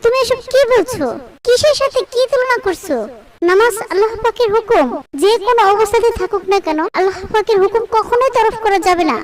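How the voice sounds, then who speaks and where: A woman plays a devout young bride in a cartoon. She is dutiful and earnest, with a very high voice heard a little away from the mic. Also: brisk, recorded from a distance. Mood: indignant.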